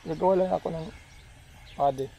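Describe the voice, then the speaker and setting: A man's voice: fairly high pitch, a little fast, creaky, highs cut off, slight background noise. A man showing his farmland and explaining it in casual chat while walking outdoors, heard away from the camera mic. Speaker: farm owner showing his land.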